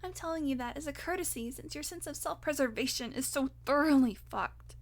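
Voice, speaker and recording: A woman acts out a female vampire with a distinctly high voice. She is irritable and hungry, swearing freely and needling with sarcastic pet names. The delivery is intimate one-sided audio roleplay dialogue. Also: brisk. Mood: tired, irritable. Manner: sardonic, foul-mouthed, teasing with pet names.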